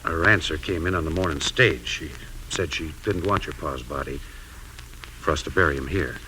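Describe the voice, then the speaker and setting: An actor playing a hearty, kindly American frontier sheriff in a 1950s radio western, with a folksy, expressive delivery. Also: male voice, a bass voice, normal speed. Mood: awkward, sorry. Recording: slightly noisy 1950s radio recording.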